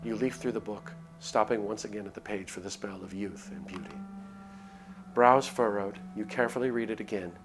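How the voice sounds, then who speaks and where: A man's voice: fairly low pitch, brisk. A man reading a visual novel aloud as a streamer, in dramatic narration with casual asides. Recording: music behind the voice. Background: music.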